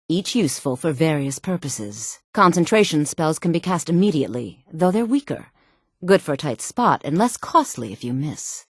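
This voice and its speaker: An actress playing a sultry, self-assured fantasy game woman with a smooth, poised, coolly condescending and flirtatious delivery. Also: deep voice, darkish tone, no echo, clean studio sound. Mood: instructive. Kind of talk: fantasy video game character dialogue.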